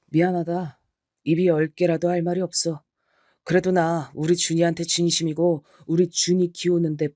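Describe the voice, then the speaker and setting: A man's voice: fairly high pitch, a little mellow, dry recording, clean studio sound, natural voice. Man playing a blunt unfaithful husband. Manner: blunt, defiant, matter-of-fact. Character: cold, unfaithful middle-aged husband.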